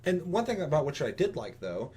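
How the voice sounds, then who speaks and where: A man's voice: on the high side, sing-song, a little fast, a little mellow, husky. A man talking casually and bluntly about games as a podcast host, swearing freely. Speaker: video game podcast host.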